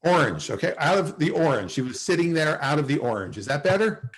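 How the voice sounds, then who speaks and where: Man playing a flustered, animated sitcom guy. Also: on the high side, fairly quick. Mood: exasperated. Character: flustered, smitten male office internet salesman. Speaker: actor in a sitcom table read. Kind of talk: sitcom table read dialogue. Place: video call at a table read.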